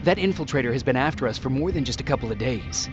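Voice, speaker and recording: An actor playing a terse, earnest resistance soldier in a video game. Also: male voice, medium pitch, expressive, fast, dull audio, music in the background, natural voice. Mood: puzzled. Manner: terse, questioning. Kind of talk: video game cutscene acting.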